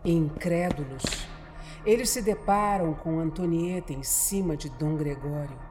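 A woman with a Brazilian accent narrating a horror radio drama from a script, in a deliberate, dramatic storytelling manner. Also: low voice, background noise.

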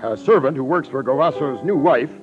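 Guy playing a tough-talking cop in an old radio show, brisk and slangy, dull muffled audio with music. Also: mid-range voice, lightly acted. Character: brisk, slangy male police detective captain. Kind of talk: 1940s radio drama acting.

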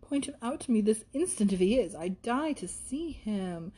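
A woman's voice: fairly high pitch, expressive, normal speed, warm tone, slightly rough. A woman voicing a gushing, flighty young woman in a period storybook, coquettish, exclamatory and affected as she scolds.

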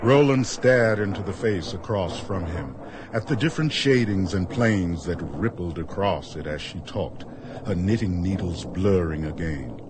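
A man narrating a radio drama as a measured storyteller, reading scripted prose. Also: sits low, animated, noisy background. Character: measured storyteller of a strange tale.

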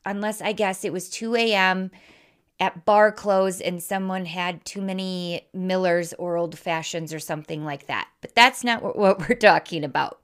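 A woman's voice: on the low side, fairly even intonation, normal speed, clean tone. A woman with a Midwestern American accent hosting a podcast monologue, speaking candidly and informally with emphatic, persuasive delivery. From Wisconsin, American Midwest. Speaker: life coach, podcast host.

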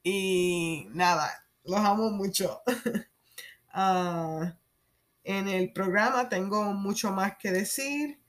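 A Puerto Rican woman in her thirties hosting a solo podcast, speaking haltingly with fillers and soft laughs. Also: mid-range voice. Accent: Puerto Rican Spanish. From Puerto Rico. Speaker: podcast host.

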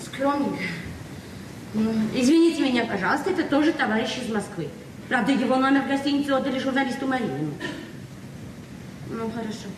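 A woman's voice: high-pitched, expressive, fairly quick, long pauses. An actress in a radio play as a naive, talkative librarian in her forties, speaking hesitantly and repeating herself. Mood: apologetic, hesitant. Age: 40s. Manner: flustered, hesitant, chatty, repeating words. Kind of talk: naturalistic Soviet radio drama acting. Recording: echoey, distant, noisy 1970s radio recording.

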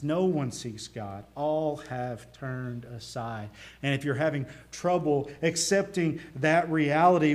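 A male pastor with a Southern US accent preaching a sermon, earnest, swelling into emphasis and dropping quiet. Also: fairly high pitch. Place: church.

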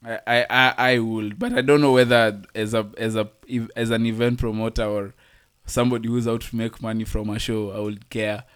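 A man's voice: on the low side, flat delivery, fairly bright tone, smooth. A man from Kenya co-hosting a casual podcast chat, stumbling over words and laughing easily. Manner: halting, many restarts, laughs easily. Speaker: podcast co-host.

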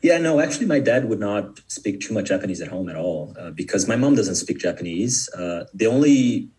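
A man's voice: fairly low pitch, animated, fairly quick, darkish tone, recorded from a distance, poor audio. A young man from Brazil, a Portuguese speaker, giving a casual, hesitant interview as a podcast guest.

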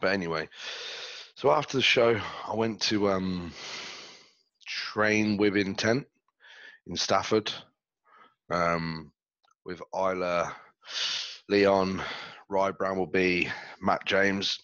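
A stuffy-nosed man chatting loosely on his own podcast, with a very low voice. He talks in slang and rambles along. He speaks into a headset that sounds dull and very dry, with no room around him. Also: slow, long pauses, fairly bright tone, dry recording. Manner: laid-back, slangy, rambling. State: stuffy, under the weather. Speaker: podcast co-host. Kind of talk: casual chat. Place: reclined in a chair at home.

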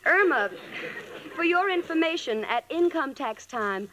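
Wry young american roommate, high swoopy voice, old radio comedy, theatrical. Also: female voice, expressive, measured pace, fairly bright tone, a little hiss or hum, heavily acted. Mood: sarcastic. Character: wisecracking, affectionate, lovestruck young working-girl roommate. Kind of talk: 1940s radio sitcom acting.

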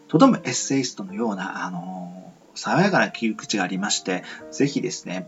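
A man hosting a casual radio talk show in a polite, rambling, hesitant way. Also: on the low side. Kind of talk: casual interview and sign-off chat. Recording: muffled lo-fi with faint music.

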